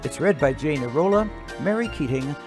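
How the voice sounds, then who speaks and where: A man acts as master of ceremonies, giving a scripted introduction. His voice sits a little high for a man, with music clearly playing behind him. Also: animated, fairly quick. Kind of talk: scripted stage announcement.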